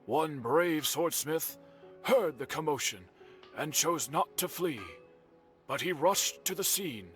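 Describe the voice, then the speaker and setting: An actor playing a courteous, solemn master swordsmith in a video game, speaking in a measured, formal storyteller's manner. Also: male voice, fairly high pitch, lively intonation, soft background music. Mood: grave storytelling. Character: courteous, solemn master swordsmith, mature man telling clan history.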